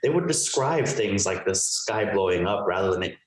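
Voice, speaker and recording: A man's voice: low-pitched, animated, normal speed. A young man born in Peru and raised in Texas, talking conversationally as an interview guest. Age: young adult. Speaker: podcast guest, meteorologist. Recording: roomy echo on voice.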